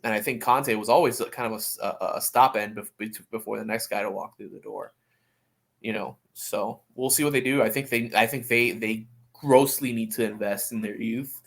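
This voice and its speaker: A man co-hosting a sports podcast, giving opinions in casual talk and often halting to find his words. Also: fairly low pitch. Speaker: podcast co-host. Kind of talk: casual soccer discussion.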